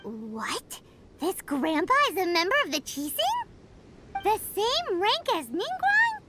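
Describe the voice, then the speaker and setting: A woman voicing a small, chirpy, blunt childlike fairy companion in a video game, squeaky and curious. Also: sing-song, slow, noisy background, heavily acted. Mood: astonished. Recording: loud music behind the voice. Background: background music.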